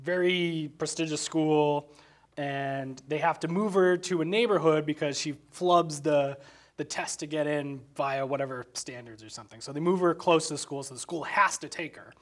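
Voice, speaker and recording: Higher-voiced man, casual and rambling. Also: moderate pace. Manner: rambling, loosely hedged explanations. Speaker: podcast guest, graphic designer. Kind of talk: casual film discussion.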